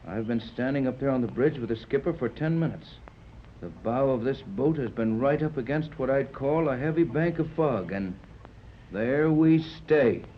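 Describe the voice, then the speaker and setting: An actor playing Tex, a steady, commanding ship's officer, in a 1930s radio drama. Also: male voice, mid-range voice, moderate pace, close to an everyday voice. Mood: uneasy. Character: steady, grave male seaman, middle-aged. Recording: muffled old radio transcription with hiss.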